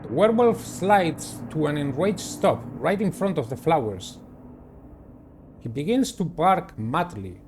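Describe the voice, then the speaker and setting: Male game narrator, somewhat high and highly expressive, dramatic reader with asides, faintly noisy recording. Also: a little slow. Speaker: gaming video host. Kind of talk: reading visual novel narration aloud with personal commentary.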